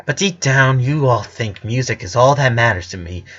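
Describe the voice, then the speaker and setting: A man's voice: medium pitch, expressive, moderate pace, fairly bright tone, muffled recording. A man voicing an anguished teenage girl in an audio story, hurt, self-blaming and emphatic. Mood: hurt, angry. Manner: pained, emphatic, pleading.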